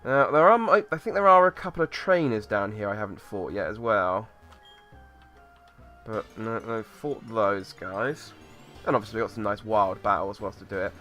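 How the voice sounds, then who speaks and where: A man with a slightly hoarse voice from a cold, rambling and thinking aloud as he plays through a game and talks to viewers. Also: on the low side, moderate pace, pushed and bright, faint music behind. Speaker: let's play host. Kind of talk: casual gameplay commentary.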